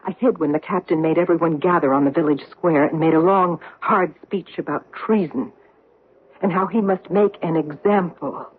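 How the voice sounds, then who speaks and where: An actress in a 1970s radio drama playing a woman survivor who calmly accuses a war criminal, in an intense, measured way. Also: fairly low pitch, slightly rough. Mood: bitter. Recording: narrow tinny 1970s radio broadcast.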